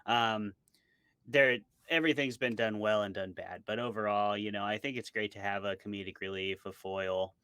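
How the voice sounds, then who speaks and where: A man's voice: lowish voice, fairly even intonation, normal speed, sharp tone, clear, resonant tone, dry recording. A man being interviewed as an author, chatting casually and thoughtfully about his opinions, often qualifying them with asides. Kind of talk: casual chat about horror tropes.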